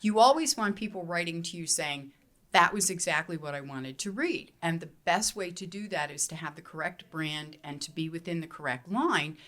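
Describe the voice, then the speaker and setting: A middle-aged woman romance author from Pennsylvania, answering panel questions in a measured, explanatory way with some restarts, speaking into a handheld mic in a meeting room. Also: lowish voice, fairly bright tone. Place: hotel meeting room, seated at table.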